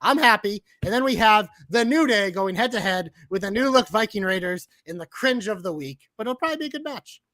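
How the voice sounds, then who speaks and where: High-ish bright guy rattling off wrestling news fast, dry close mic. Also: no echo. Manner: fast, excitable, jokey, swears. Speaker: podcast co-host. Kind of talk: casual wrestling chat.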